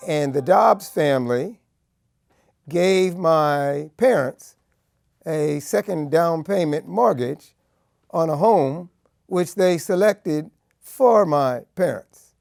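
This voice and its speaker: An American man in his seventies recounts his own past as an oral history. His voice sits a little high for a man and crackles with vocal fry. He speaks slowly and deliberately, in measured short phrases. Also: expressive, darkish tone, dry recording, studio quality. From Connecticut, United States. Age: 70s. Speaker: law professor and civil rights lawyer. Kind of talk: personal oral history storytelling.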